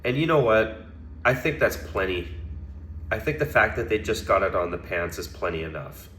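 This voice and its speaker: A man's voice: lowish voice, expressive, normal speed, fairly bright tone, roomy sound. A man giving casual, conversational opinion commentary as a hockey vlogger, hedging now and then with fillers.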